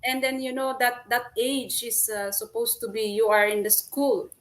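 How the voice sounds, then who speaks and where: Filipino woman telling her story haltingly. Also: on the high side, little pitch movement. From Philippines. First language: Filipino language. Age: middle-aged. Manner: halting, repeats words, laughs often. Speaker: guest. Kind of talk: personal story interview.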